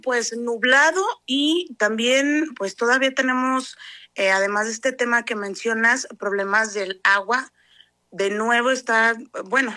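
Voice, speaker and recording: Mexican woman reporter, dry bright sound. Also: a little high, no room sound. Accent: Mexican Spanish. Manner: conversational report, colloquial touches. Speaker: field reporter. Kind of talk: news report from the field.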